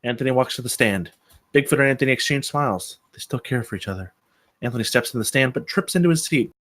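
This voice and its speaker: A man's voice: medium pitch, lively intonation, fast, a bit bright, dry recording. A man reading out stage directions as narrator at a screenplay table read.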